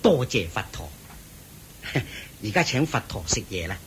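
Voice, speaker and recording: An actor playing a devout lay host who eagerly and respectfully serves the Buddha, in a radio play. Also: male voice, fairly low pitch, very animated, a little slow, a bit bright, slight background noise, full character voice. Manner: respectful, eager, fussing politely. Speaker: householder hosting the Buddha. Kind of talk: Buddhist radio drama acting.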